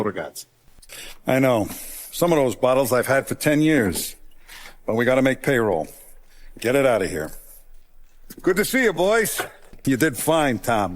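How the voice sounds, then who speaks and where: An actor playing an older Italian-American mafia boss in a video game, paternal, calculating and ruthless, with measured, streetwise authority. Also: male voice, medium pitch. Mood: businesslike, commanding, then easy authority. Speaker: crime boss character. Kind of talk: cinematic video game voice acting.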